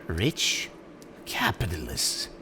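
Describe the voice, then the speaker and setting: A man's voice: low-pitched, sing-song, slow pace, background noise, pitched below the performer's own voice, full character voice. A man playing an urbane, smug supervillain in an ASMR roleplay, speaking in a courteous, theatrical and emphatic way. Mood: smug, businesslike. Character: urbane, smug male supervillain interviewing a prospective minion.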